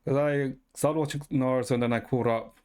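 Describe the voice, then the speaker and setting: A man's voice: medium pitch, fairly even intonation, fairly quick, a little mellow, clear, resonant tone. A man from Ecuador chatting casually to his live stream viewers in a rambling, informal way, swearing freely. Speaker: livestreamer. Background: music.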